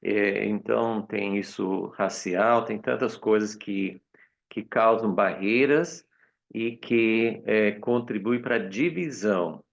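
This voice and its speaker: A man hosting a prayer meeting, speaking slowly and deliberately with hesitant fillers. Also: fairly low pitch, gravelly, dull audio. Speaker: host of the meeting.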